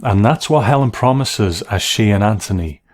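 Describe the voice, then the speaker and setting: A man delivering a measured, scripted video-essay commentary as narrator and critic. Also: fairly low pitch, expressive. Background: music.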